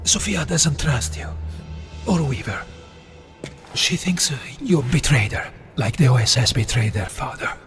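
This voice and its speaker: An actor playing an earnest, anxious resistance partisan in a wartime video game, speaking with urgency. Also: male voice, medium pitch, expressive, unhurried, fairly bright tone. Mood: uneasy. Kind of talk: cinematic video game acting. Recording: faint music behind the voice.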